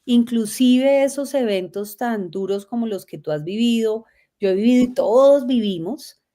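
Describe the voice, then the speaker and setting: A middle-aged Colombian woman, a guest telling her life story in a lively way, laughing often. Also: a little high, moderate pace. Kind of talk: conversational interview.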